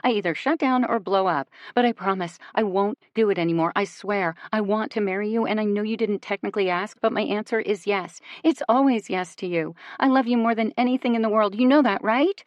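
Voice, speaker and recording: A frantic, hot-tempered young woman, as the narrator plays her, pouring out words breathlessly down a payphone line. Her voice turns shrill and raised, with a dry, close sound. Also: brisk, few pauses, no echo, close to an everyday voice. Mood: pleading.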